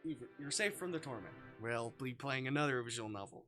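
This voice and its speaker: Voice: mid-range voice, animated, fairly quick, fairly bright tone, faint music behind. A live streamer chatting casually while playing visual novels, rambling and thinking aloud.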